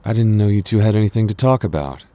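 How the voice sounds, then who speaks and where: A man's voice: lowish voice, fast, dark tone, lightly acted. A man playing a jealous, protective young boyfriend in an intimate audio roleplay, defensive and stammering but loving. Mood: surprised, uneasy. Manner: stammering, defensive, teasing asides.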